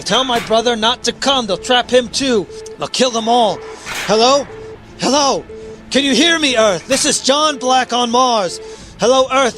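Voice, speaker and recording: An earnest, anxious space captain played by a male actor in a radio play. His voice sits high for a man and he delivers his lines with old-time dramatic urgency. Faint music runs underneath. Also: fairly bright tone. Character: anxious male rocket captain stranded on Mars.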